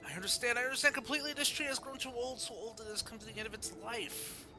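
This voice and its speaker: A man's voice: extremely high pitch, fast talker, loud music behind the voice, voice pushed up. A man voicing a zealous, pleading disciple in a theatrical, exclamatory manner for a fantasy game story. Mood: anguished, pleading. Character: zealous, pleading disciple crying out to an ancient wisdom tree.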